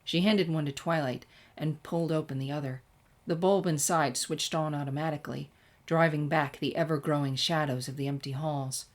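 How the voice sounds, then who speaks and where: A woman reading a scripted story aloud in expressive narration. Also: deep voice. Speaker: fan fiction reader.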